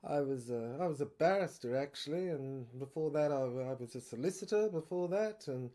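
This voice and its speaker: A woman's voice: low voice, expressive, moderate pace, warm tone, voice pushed down. A woman playing a genteel, boastful male ex-barrister in an audio drama, who rambles deferentially and keeps correcting himself. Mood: hesitant, boastful. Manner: hesitant, digressive, self-correcting. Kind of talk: serial audio drama acting.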